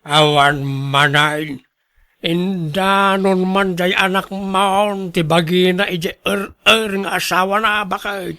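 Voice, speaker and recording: A man's voice: high-pitched, steady tone, takes it slowly, pushed and bright, clear, resonant tone, exaggerated voice. Radio drama father: middle-aged, emphatic grumbler with a supportive streak, northern Philippine accent. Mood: exasperated.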